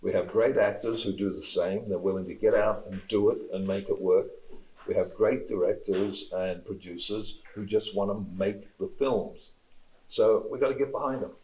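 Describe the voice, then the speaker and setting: A man's voice: fairly low pitch, steady tone, normal speed, lo-fi sound. A middle-aged Australian man being interviewed about his acting, speaking candidly and with emphasis. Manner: candid and emphatic, talks freely. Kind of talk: casual interview.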